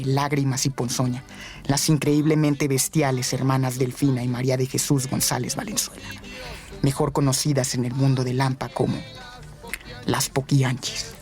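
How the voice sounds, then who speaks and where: A man's voice: mid-range voice, a little mellow. A man delivers scripted documentary narration for radio. His intonation stays level and restrained in range, and music plays underneath.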